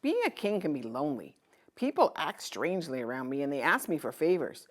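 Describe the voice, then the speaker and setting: Woman voicing a kindly traveling king, animated intonation. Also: low voice, brisk. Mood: reflective. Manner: gentle, fatherly, reflective. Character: kind, weary traveling king disguised as a merchant. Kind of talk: children's storybook read-aloud.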